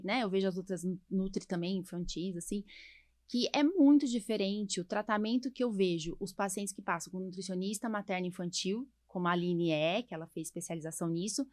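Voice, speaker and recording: A woman's voice: medium pitch, no room sound, clean studio sound. A Brazilian woman chatting as a podcast host and doctor, explaining things emphatically with frequent rhetorical questions. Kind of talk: casual podcast conversation, explaining to listeners.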